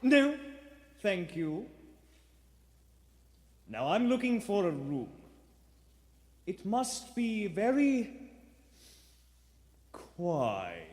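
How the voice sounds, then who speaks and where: In a reverberant theater, a mysterious, pious lodger for a radio play. His fairly high voice moves slowly and formally, with a stern, theatrical intensity. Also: very animated, exaggerated voice. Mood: curt, ominous. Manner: slow, formal, theatrical, clipped orders. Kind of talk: live radio play acting. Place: live stage in a theater. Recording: echoey theater stage recording.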